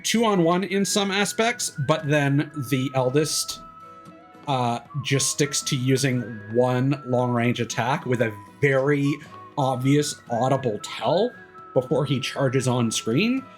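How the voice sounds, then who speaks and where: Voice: mid-range voice, animated, slow pace, soft background music. A video game streamer giving casual, analytical commentary, rambling and often trailing off mid-thought. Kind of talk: casual livestream commentary while gaming.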